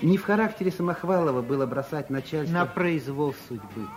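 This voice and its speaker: A man's voice: a little high, animated, a little fast, loud music behind the voice, natural voice. A man narrating a vintage radio play in a scripted storytelling style.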